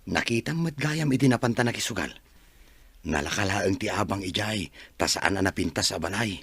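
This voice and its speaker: An easygoing, persuasive gambler pal in a radio drama. His voice is lowish and husky, recorded dry. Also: expressive, normal speed, a bit bright, no echo, exaggerated voice. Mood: coaxing, assured. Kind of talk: Ilocano radio drama acting.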